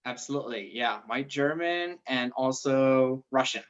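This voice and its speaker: A man's voice: mid-range voice, measured pace, smooth. Hesitant young man, dull audio. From Algeria. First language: Arabic and French. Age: 20s. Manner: hesitant, many fillers. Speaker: guest. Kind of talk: casual interview answers.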